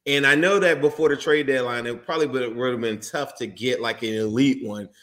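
Man, podcast host, chatty, rambling, enthusiastic, mid-range pitch. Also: a little fast, a bit bright. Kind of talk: casual podcast interview.

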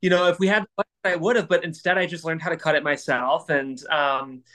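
Man, raised pitch, conversational co-host, encouraging, affirming. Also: fairly even intonation, brisk, bright, forceful tone. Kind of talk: conversational panel talk.

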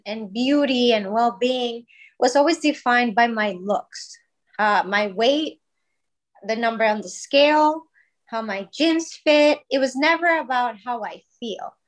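A woman's voice: on the high side, a little slow. A woman in her thirties from Colombia, telling her own story as a podcast guest in a candid, informal, conversational way. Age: 30s. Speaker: podcast guest, wellness business founder.

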